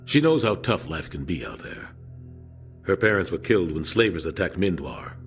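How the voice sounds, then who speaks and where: A deep-voiced veteran officer. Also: male voice, big pitch swings, normal speed, vocal fry, soft background music, heavily acted. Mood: firm. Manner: firm, resolute. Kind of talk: video game voice acting.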